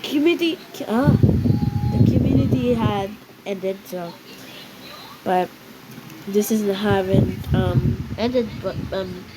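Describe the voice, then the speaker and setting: A woman's voice: mid-range voice, slow pace. A woman with a cough and a cold, chatting casually and hesitantly while she colors, with many ums and restarts. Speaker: vlogger. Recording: loud background noise.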